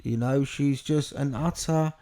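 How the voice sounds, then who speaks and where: A man's voice: medium pitch, a little slow, dark tone. A man telling candid stories in a podcast chat, blunt and emphatic, swearing freely. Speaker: podcast guest. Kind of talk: candid conversational storytelling.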